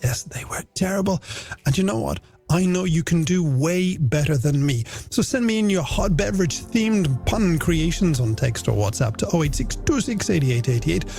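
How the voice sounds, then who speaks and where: A man's voice: on the high side, animated, moderate pace, a little mellow. A middle-aged man with an Irish accent presenting a chatty late-night radio show in a playful, self-deprecating way, talking to listeners as friends. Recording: radio broadcast with music bed underneath. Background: music.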